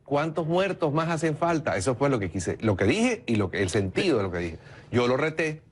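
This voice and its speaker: A man's voice: mid-range voice, big pitch swings, fairly quick, slightly rough. A man from Venezuela, a political consultant arguing his point insistently in a heated TV interview.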